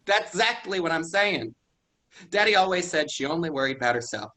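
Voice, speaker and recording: A man's voice: on the high side, expressive, a little fast, pushed and bright. An actor reading a stubborn rural young man, curt and defensive in a staged play reading.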